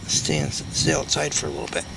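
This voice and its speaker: A man picking nightcrawlers in his backyard at night, talking casually to a handheld camera as he works and getting excited over the big ones. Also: on the high side, brisk, creaky. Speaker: backyard gardener and angler. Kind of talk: casual narration while working. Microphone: handheld camera mic. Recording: noisy outdoor night recording.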